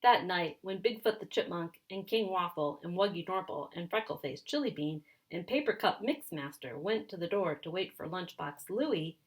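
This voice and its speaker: A woman reading a children's story aloud in an animated, expressive and emphatic way. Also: fairly low pitch, rough recording. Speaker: children's story reader, speech and language pathologist.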